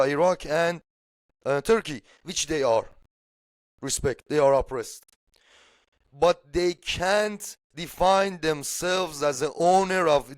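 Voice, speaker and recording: A man's voice: a little high, dry recording. A man from Iran with an Iranian accent and Persian as his first language, talking casually about politics in a blunt, emphatic way with casual swearing. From Shiraz, Iran. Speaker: political podcast speaker.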